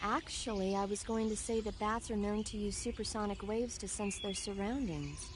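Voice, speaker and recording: A woman acts out a knowledgeable female support adviser from a video game. She speaks earnestly and informatively at a medium pitch. Clear outdoor noise of birds and animals sits behind the voice. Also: normal speed, natural voice. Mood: puzzled.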